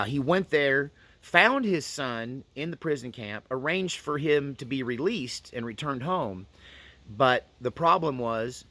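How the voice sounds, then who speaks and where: A man's voice: mid-range voice, sharp tone. A man telling a family story in a casual, unscripted, folksy way, repeating phrases for emphasis. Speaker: storyteller and host. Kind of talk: casual first-person storytelling to camera.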